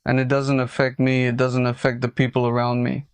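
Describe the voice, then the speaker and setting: A man speaking in an interview clip with a flat, monotone delivery. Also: medium pitch, brisk, clean tone, no echo.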